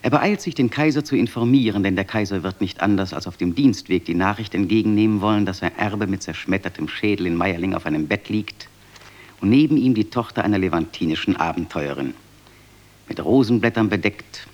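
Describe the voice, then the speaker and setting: A knowing narrator in a radio drama. His voice sits fairly low and moves with very lively intonation. He tells things gravely and with emphasis. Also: dull audio. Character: grave male narrator-witness of a royal tragedy, knowing.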